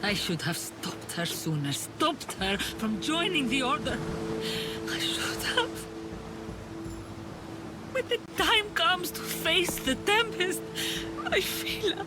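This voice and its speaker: A woman's voice: high-pitched, very animated, slow pace, pauses often, loud music behind the voice, full character voice. A woman playing a regretful, grieving parent in a video game drama. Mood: anguished regret. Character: sorrowful, regretful parent of a wayward daughter. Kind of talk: video game cutscene acting.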